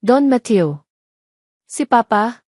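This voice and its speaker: An earnest, serious rich-family man, voiced by a woman in an audio drama. He explains things gravely. The sound is dry and close. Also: a little high, animated, slow pace, a little mellow, dry recording. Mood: surprised.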